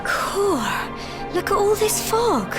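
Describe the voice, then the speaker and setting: Sweet chatty british village girl, young, high voice, easily startled, game cutscene w loud music behind. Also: very animated, slow, heavily acted. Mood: uneasy, relieved. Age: young adult. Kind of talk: video game cutscene acting.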